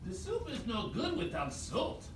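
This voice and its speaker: A woman's voice: medium pitch, wildly swooping pitch, a little slow. A woman voicing village animals in a live children's puppet show, with exaggerated, questioning delivery. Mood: doubtful. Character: village animals, including a grudging, sheepish raccoon. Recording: echoey room, distant mic, noisy background.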